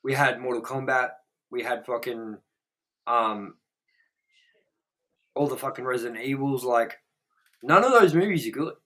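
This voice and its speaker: A man's voice: medium pitch, unhurried, pauses often, poor audio. A young man co-hosting a movie review show, talking bluntly and casually with plenty of swearing. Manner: blunt, profane and animated. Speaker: co-host.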